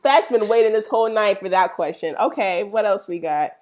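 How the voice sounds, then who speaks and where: A woman co-hosts a relaxed podcast conversation at a middle pitch. She backs up her partner with soft agreement and drops in playful, teasing comments. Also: a little fast. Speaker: podcast co-host. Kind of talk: casual chat.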